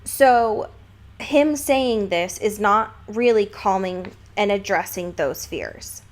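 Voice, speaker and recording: A woman's voice: mid-range voice, slow. Analytical woman chatting casually about a book. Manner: analytical, emphatic, casually profane. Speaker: podcast co-host.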